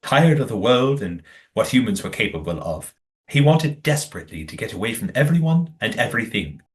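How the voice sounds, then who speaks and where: A man's voice: on the low side, very animated, fairly quick. An actor playing a righteous, well-spoken man in an audio play, formal and emphatic. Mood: earnest storytelling. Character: righteous, well-spoken man guarding his community's history.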